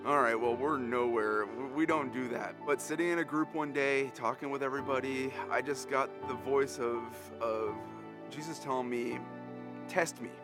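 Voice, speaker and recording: A man's voice: mid-range voice, steady tone, moderate pace. Man telling his story over music. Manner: candid, conversational storytelling. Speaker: church member giving testimony. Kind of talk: testimony interview.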